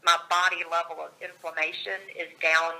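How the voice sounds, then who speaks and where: A woman speaks with bright, forceful energy and a gravelly edge, her voice slightly scratchy from a cold. She talks over a call line in an upbeat way and laughs readily. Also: lowish voice, flat delivery, normal speed. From Georgia, USA. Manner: upbeat and enthusiastic, laughs often. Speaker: author and guest.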